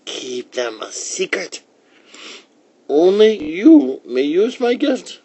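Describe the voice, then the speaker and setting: Man putting on a high, slow, kindly old woman. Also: sing-song, warm tone, slightly rough, dry recording, heavily acted. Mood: warning. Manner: slow, drawn-out, emphatic. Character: kindly old woman, mysterious gift-giver in the forest.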